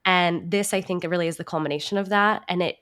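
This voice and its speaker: A woman's voice: on the low side, fast talker, fairly bright tone, smooth. A woman answering interview questions conversationally, in a reflective and earnest manner. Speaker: podcast guest, actor and writer.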